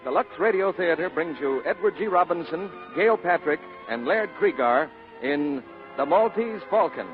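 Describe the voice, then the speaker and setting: A man's voice: fairly high pitch, animated, moderate pace. A man announcing a 1940s radio show in a formal, proclaiming style. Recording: muffled vintage radio broadcast over music. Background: music.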